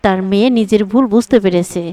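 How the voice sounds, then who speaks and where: A woman's voice: mid-range voice, quick pace, mellow, distant mic. A woman narrating a scripted children's moral story.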